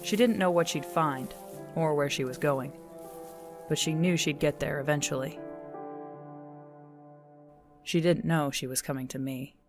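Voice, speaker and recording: A man's voice: on the high side, measured pace, long pauses. A man playing a radio host who narrates an audio drama as a storyteller. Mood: measured, storytelling. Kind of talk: scripted narration. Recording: music behind the voice.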